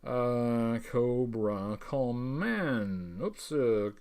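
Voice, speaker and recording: A man hosting a casual livestream, talking in a rambling, halting, stop-start way with a wry, tongue-in-cheek manner as he chats with viewers. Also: medium pitch, lively intonation, a little mellow, clean tone. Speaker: livestream host. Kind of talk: casual livestream chat reading viewer messages.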